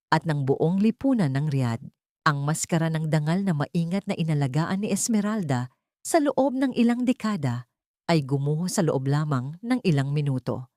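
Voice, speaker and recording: Female audiobook narrator, Filipino accent, lowish pitch, dramatic storytelling, dry recording. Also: darkish tone, no room sound.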